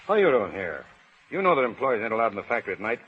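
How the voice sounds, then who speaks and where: An actor playing a smug, bullying factory boss, self-important and slangy but cowardly underneath, in 1930s radio drama. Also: male voice, mid-range voice, big pitch swings, racing, slight background noise, lightly acted. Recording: muffled 1930s radio broadcast, reverberant hall.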